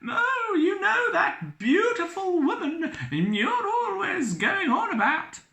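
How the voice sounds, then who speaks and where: A storyteller voicing a giggly, high-pitched woman who chirps her lines. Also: big pitch swings, slow, gravelly, sharp tone, echoey room, heavily acted.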